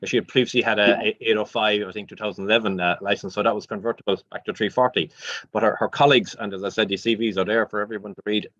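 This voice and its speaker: A man's voice: lowish voice, fairly even intonation, fast, a bit bright, no echo. A man giving an unscripted answer as a panel speaker. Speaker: panel speaker from aviation industry. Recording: dull, dry lo-fi audio.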